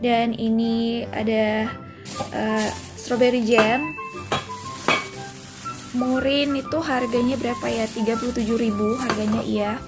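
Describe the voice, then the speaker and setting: Casual woman vlogger, a bit high-pitched, chatty voice-over with music behind. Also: steady tone. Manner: chatty, pauses to recall prices. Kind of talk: casual grocery haul voice-over. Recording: voice-over with clear background music.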